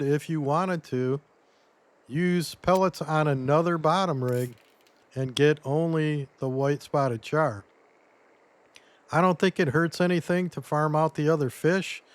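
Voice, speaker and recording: Slightly hoarse man walking through a game. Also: a little high, measured pace. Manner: relaxed, step-by-step instructing. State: losing his voice. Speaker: game guide creator. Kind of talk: gameplay tutorial commentary.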